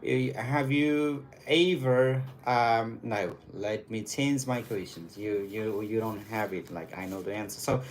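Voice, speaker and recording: A man role-playing a visa officer, asking brisk, direct interview questions with quick approvals. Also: lowish voice, steady tone, slight background noise.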